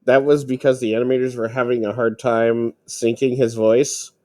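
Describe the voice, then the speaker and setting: A man chatting casually as a podcast co-host, explaining things in a halting way. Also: medium pitch, level tone, a little mellow, no echo. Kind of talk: casual film commentary chat.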